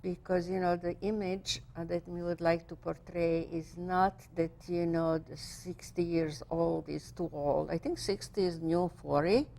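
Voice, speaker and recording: A woman in her sixties hosting a cable TV interview show, chatty and enthusiastic, with halting speech full of fillers, restarts and non-native phrasing. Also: on the low side, fairly even intonation, creaky, warm tone. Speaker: TV show host.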